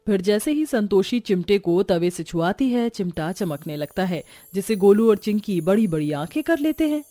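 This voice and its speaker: A woman's voice: mid-range voice, animated, normal speed, dark tone, dry recording, faint music behind. A woman reading scripted narration for a cartoon story.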